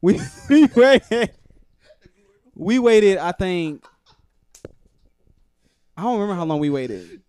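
A man in his twenties on a casual podcast, his voice sitting a little high. He talks animatedly and laughs through his own stories. His swearing flows freely in the loose banter. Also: expressive, slow pace, pauses often, darkish tone, clear, resonant tone, no room sound. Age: 20s.

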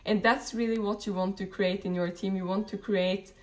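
A woman's voice: mid-range voice, fairly even intonation, moderate pace, a little mellow. A woman, talking casually on a live stream as a network marketing team leader, rambling with many fillers and restarts. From Norway. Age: late 20s. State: a bit tired, just woken up.

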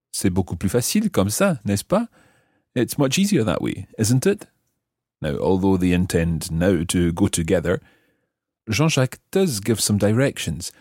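A man hosting a language lesson, explaining example phrases piece by piece with careful, measured articulation. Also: fairly low pitch, darkish tone, slightly rough, dry recording, clean studio sound. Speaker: language teacher and host.

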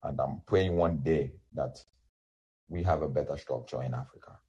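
Very deep-voiced husky man. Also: normal speed, warm tone, highs cut off. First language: Yoruba. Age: 30s. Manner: rambling, many restarts and fillers. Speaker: independent musician guest. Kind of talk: casual interview.

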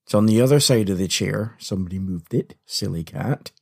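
A man hosting a podcast with scripted comedic narration, husky in voice and playful, punning and theatrical in delivery. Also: lowish voice, fairly quick, dark tone. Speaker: podcast host. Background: faint music.

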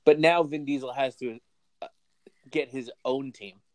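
A podcast co-host chatting casually about a movie, incredulous and animated, stammering when excited. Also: medium pitch, a little slow, dry recording. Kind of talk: casual movie recap chat.

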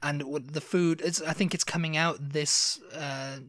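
A man's voice: fairly high pitch, steady tone, measured pace, a bit bright, no echo, clean studio sound. A man who grew up in Wales, co-hosting a casual chat and rambling from one story to the next with restarts. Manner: chatty, rambling, self-interrupting. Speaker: podcast co-host. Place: living in Texas.

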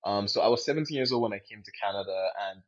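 A young man from Nigeria with a fairly low, raspy-edged voice co-hosts a casual discussion. He tends to restate his phrases as he thinks them through. The recording is a little dull and lo-fi. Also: rapid-fire. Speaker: podcast co-host. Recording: dull, lo-fi sound.

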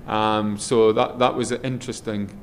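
A middle-aged man with a loud voice, a guest in a relaxed interview, rambling a little with frequent ums and restarts as he talks. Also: lowish voice, unhurried, a little hiss or hum. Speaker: guest, head of a schools group.

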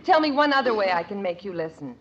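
An actress playing an exasperated, strong-willed suburban wife and mother in a vintage radio sitcom, brisk, sarcastic and emphatic. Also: mid-range voice, big pitch swings. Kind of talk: 1950s radio sitcom acting. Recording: dull vintage radio broadcast.

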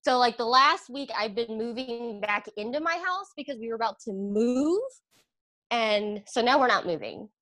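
A chatty woman speaking over a video call, with a fairly high voice. Her sound is dry and close, with no room around it. She talks casually and loosely, full of "like"s. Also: animated, normal speed, no room sound. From Philippines, raised in Pennsylvania. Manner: chatty, warm, many "like"s and laughs. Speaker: guest, aerial yoga instructor. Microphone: computer video call.

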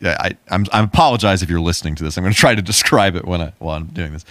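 Male podcast co-host, deep-voiced, relaxed and conversational, dry studio-like sound. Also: very fast, no room sound. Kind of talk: casual chat about movies.

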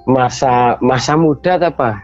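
A man's voice: mid-range voice, normal speed, a little mellow. A man chatting casually and reminiscing as a podcast guest. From Lamongan, East Java, Indonesia. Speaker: podcast guest, literacy activist. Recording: dull lo-fi audio with background music.

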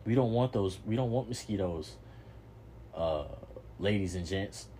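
A man's voice: lowish voice, unhurried, mellow, a little hiss or hum. A young man hosting a podcast, giving a preachy motivational monologue, repeating himself and swearing casually. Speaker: podcast host.

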